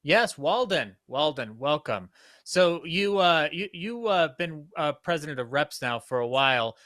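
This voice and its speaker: A man hosting a casual live interview, halting and repeating himself as he goes. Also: on the high side, expressive, bright, forceful tone, dry recording, clean studio sound. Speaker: host interviewer.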